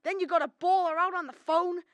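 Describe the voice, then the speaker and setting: A woman's voice: extremely high pitch, fairly even intonation, quick pace, dry recording. A teenage girl playing an angry, aggressive husband in a radio drama, scolding and accusing.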